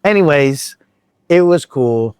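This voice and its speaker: A man's voice: fairly high pitch, lively intonation, slow pace, darkish tone, smooth, no room sound, clean studio sound. A man telling a concert story in a casual, rambling vlog chat, full of restarts and asides. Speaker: vlogger.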